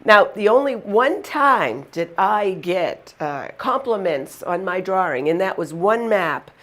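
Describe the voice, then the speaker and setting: A woman's voice: mid-range voice, animated, slow, fairly bright tone. A woman with a Boston accent telling funny personal stories to the camera in a chatty, amused way. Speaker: vlogger. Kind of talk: casual storytelling to camera.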